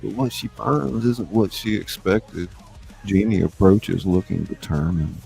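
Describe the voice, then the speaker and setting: A male narrator reads scripted stage directions in a low voice with a gravelly edge. Music plays faintly behind him. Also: a little slow, a little mellow.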